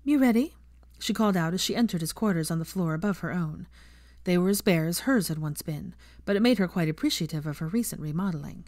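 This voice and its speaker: A woman's voice: fairly low pitch, fast, darkish tone, no room sound, clean studio sound. A woman reading scripted fiction as an audiobook narrator.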